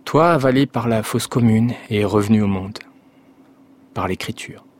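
A low-voiced man reads a passage from his own novel. His delivery is slow and solemn, with careful and steady phrasing. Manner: measured, solemn recitation. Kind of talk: literary reading aloud.

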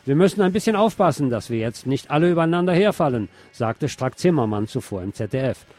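A man's voice: medium pitch, lively intonation, fast talker, a little mellow. A man hosting a news show, reading press articles aloud and adding sardonic, emphatic commentary. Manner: sardonic asides, emphatic repetition.

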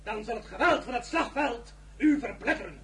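An actor playing a grandiose, raving young general with bombastic delivery in a radio drama. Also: male voice, very high-pitched, animated, normal speed, a bit bright. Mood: grandiose, menacing. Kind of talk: theatrical radio drama acting. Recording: radio drama with faint crowd noise.

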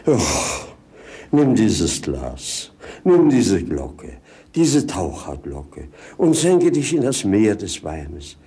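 Husky old-school radio drama rogue, earthy wine lover, swooping theatrical delivery, slow. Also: male voice, lowish voice, huge pitch swings, mellow, heavily acted. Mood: teasing, expansive. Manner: theatrical, declamatory, savouring words. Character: jolly wine-loving rogue, earthy, mocking servant companion. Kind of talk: 1950s German radio drama acting.

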